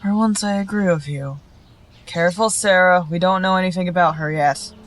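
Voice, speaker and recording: A dry, skeptical she-wolf healer played by a woman with a slightly low voice. She is sarcastic and wary, in a fantasy audio drama with birds and ambient noise behind her. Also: moderate pace, lightly acted. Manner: sardonic, wary.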